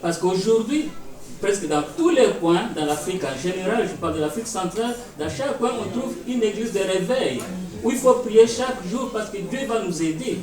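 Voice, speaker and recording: A man's voice: high voice, lively intonation, moderate pace, a crowd in the background. A man from Kinshasa speaking emphatically and indignantly in a debate, repeating his points. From Congo (Kinshasa). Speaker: audience member. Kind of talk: impassioned debate intervention. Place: conference hall with audience. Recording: echoey hall, distant mic, audience.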